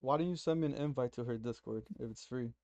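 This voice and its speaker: A man from Nicaragua with a Miami accent, hosting a trading stream in relaxed, rambling casual chat. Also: mid-range voice, fast. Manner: relaxed, rambling, mixes in slang.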